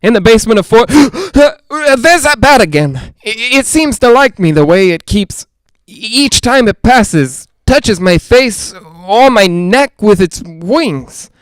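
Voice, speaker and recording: Actor as high-voiced, jittery radio broadcaster. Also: male voice, very animated, smooth, dry recording, heavily acted, low-quality recording. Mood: jumpy, squeamish. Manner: nervous, rambling, halting, self-reassuring. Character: jittery, lonely man broadcasting alone from haunted house. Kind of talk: 1940s-style radio drama monologue.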